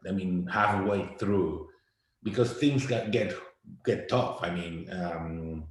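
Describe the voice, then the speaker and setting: A man in his forties from Costa Rica answers interview questions in a halting way, circling back over his own words. His voice sits very low. It rings out in a strongly echoing room. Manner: halting, many repeated words and fillers. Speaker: guest. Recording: very echoey room.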